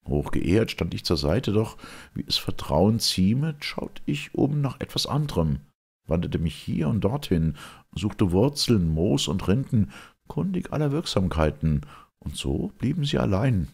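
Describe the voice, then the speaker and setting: An old, sinister hag declaims her tale in classical verse drama, played by a male reader. The voice is husky and slow, swinging widely in pitch with boastful, theatrical emphasis. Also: very animated, a little mellow, full character voice. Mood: confiding, animated. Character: ancient sinister hag storyteller Phorkyas, animated and boastful.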